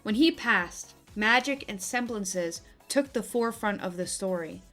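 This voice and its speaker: A young woman delivering opinionated, scripted video-essay commentary with casual asides, in an expressive, emphatic manner. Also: medium pitch, unhurried. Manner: expressive, emphatic, gushing and griping. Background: background music.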